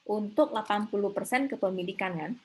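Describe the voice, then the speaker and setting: A woman lecturer with a somewhat husky voice at a middling pitch. She teaches in a conversational way, thinking aloud as she works through steps. She keeps tossing short questions to her students to confirm they follow. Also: a little fast, darkish tone. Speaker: accounting lecturer. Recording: slightly rough online class recording.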